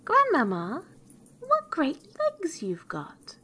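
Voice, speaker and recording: A woman's voice: high voice, big pitch swings, moderate pace, bright, forceful tone, a little hiss or hum, voice pushed up, exaggerated voice. A woman voicing an innocent, polite little girl in a storybook, earnest, wondering and sing-song. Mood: amazed.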